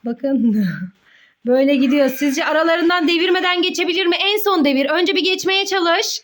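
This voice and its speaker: A woman's voice: very high voice, moderate pace. A woman, a mother playfully narrating a children's game in an encouraging, affectionate manner as she talks to her child and young viewers. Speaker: mother hosting a children's play video.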